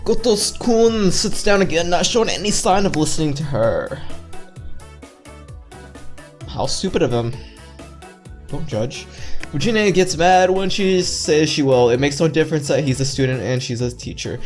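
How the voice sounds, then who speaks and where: A man's voice: fairly high pitch, animated, normal speed, long pauses. A man reading visual novel narration aloud with playful, casual asides. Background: game music.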